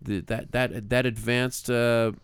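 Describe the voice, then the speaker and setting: A man's voice: medium pitch, little pitch movement, slow, smooth. A man co-hosting a podcast like a teacher, chatting casually and emphatically, acting out imagined remarks. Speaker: podcast co-host and music teacher.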